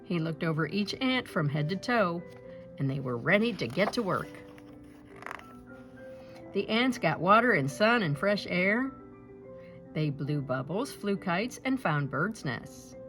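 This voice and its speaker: Woman, children's storybook read-aloud, animated intonation, expressive deliberate phrasing, music underneath. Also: on the low side. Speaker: storybook reader. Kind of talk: scripted children's picture-book read-aloud.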